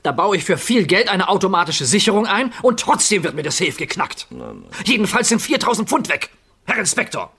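An actor playing an indignant, complaining robbery victim in a vintage radio crime drama. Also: male voice, high voice, animated, a little fast, sharp tone, breathy edge, heavily acted. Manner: agitated, complaining. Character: indignant man reporting his robbed safe to police. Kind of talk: 1950s-60s German radio crime drama acting.

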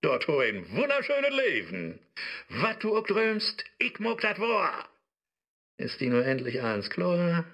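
A man plays a tempting digital devil for a satirical radio play. His Low German verse swings wildly up and down, taunting and mocking, in a forceful, unhurried voice. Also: wildly swooping pitch, muffled recording, heavily acted. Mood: persuasive. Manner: theatrical, taunting, rhyming speech.

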